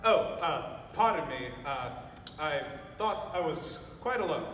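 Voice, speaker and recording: A young man playing a stuffy, old-fashioned gentleman who grumbles and sputters pompously in a stage play. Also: fairly high pitch, lively intonation, a little slow, soft background music. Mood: flustered, indignant. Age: young adult. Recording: echoey stage hall from far off.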